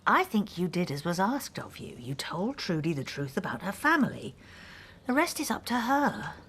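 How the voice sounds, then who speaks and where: An actress playing a frank, reassuring confidante in a naturalistic radio drama, measured, with a breathy, husky voice. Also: female voice, fairly low pitch, very animated, a bit bright, slight background noise.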